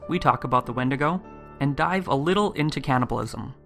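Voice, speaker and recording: A man with a gravelly voice of medium pitch narrates as a podcast host. His scripted delivery is measured and suspenseful, made for eerie tales, with faint music behind. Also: lively intonation, fairly bright tone.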